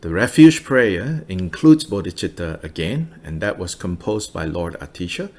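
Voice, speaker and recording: A man giving a relaxed Buddhist teaching over a video call, laughing often and using many fillers. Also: sits low, moderate pace.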